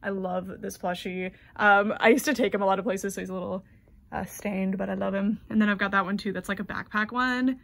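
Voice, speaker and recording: A woman's voice: mid-range voice, steady tone, brisk, gravelly, a bit bright. A young American woman with an American accent giving a chatty, gushing tour of her doll collection, full of ums and likes. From United States.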